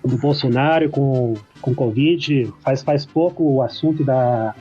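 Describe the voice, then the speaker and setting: A Brazilian man in his thirties, a sociologist guest chatting casually on a podcast, easygoing and quick to laugh. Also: mid-range voice, mellow, husky, lo-fi sound, soft background music. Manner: easygoing, laughs often, many "né" tags.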